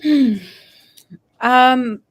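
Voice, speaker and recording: Unsure, halting woman panelist. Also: high voice. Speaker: fan panelist. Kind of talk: casual panel discussion.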